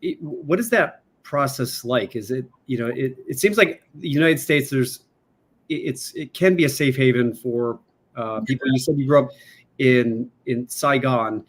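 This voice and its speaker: A man's voice: mid-range voice, measured pace. A man hosting a casual interview, asking long, loosely worded questions with fillers. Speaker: podcast host.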